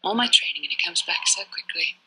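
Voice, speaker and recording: A woman's voice: fairly low pitch, a little fast, background noise, lightly acted. A woman playing a proud, guarded young warrior-monk and resentful daughter in a video game, speaking formally, clipped and earnest. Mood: troubled, resentful. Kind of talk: dramatic scripted game dialogue.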